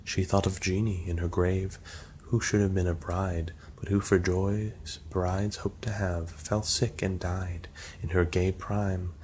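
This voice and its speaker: A man's voice: deep voice, level tone, a little slow, darkish tone. A man narrating a poem aloud as an ASMR reading. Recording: clear background noise.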